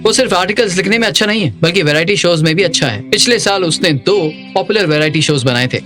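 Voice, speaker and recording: A man's voice: sits high, lively intonation, fast, fairly bright tone, faint music behind. A male voice actor dubbing an eager, obliging assistant in a drama. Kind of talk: dubbed drama dialogue.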